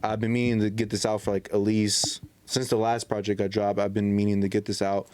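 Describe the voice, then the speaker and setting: A man's voice: lowish voice, flat delivery, brisk, a little mellow, slight background noise. A man co-hosting a casual podcast, talking in a laid-back, slangy way and repeating himself often. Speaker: podcast co-host. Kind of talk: casual chat.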